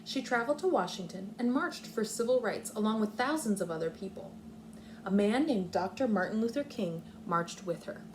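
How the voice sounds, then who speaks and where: A woman's voice: mid-range voice, expressive, normal speed, slight background noise, low-quality recording. A woman speaking as a kindergarten teacher, explaining and thinking aloud step by step in a read-aloud lesson for young children.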